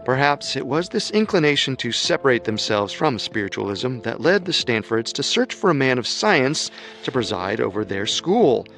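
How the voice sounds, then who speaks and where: A man giving measured, scripted documentary narration. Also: medium pitch, sing-song, creaky, a bit bright, faint music behind.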